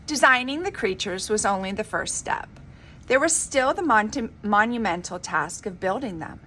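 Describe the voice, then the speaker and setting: A woman reading a children's story aloud in an expressive, dramatic, emphatic storybook manner. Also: medium pitch. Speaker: reader of a children's book.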